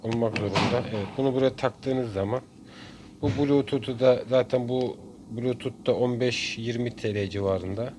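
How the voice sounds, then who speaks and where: Halting man with noisy background. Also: mid-range voice. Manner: halting, pausing between short phrases. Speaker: DIY video maker. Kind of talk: casual hands-on tutorial. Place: indoors at a workbench. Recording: clear background noise, room ambience.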